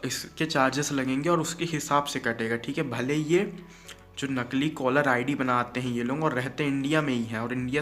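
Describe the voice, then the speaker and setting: A man's voice: medium pitch, a bit bright. A man with an Indian accent talking casually to viewers as a vlogger, explaining things directly and mixing in English words.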